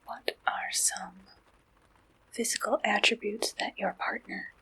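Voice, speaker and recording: A woman performing an ASMR roleplay, gently and deliberately asking questions like an interviewer. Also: mid-range voice, level tone, breathy edge, rough recording.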